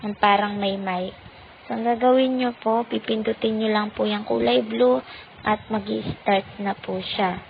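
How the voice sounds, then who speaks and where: Lady doing a slow step by step app tutorial, flat even voice, polite and patient. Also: mid-range voice, creaky, dull audio, slight background noise. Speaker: tutorial channel host.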